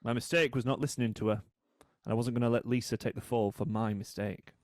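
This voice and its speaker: An actor playing a cool, needling British man in a drama, a wronged brother with a sardonic, deliberate way of talking. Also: medium pitch, steady tone, close to an everyday voice. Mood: firm, protective. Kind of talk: audio drama acting.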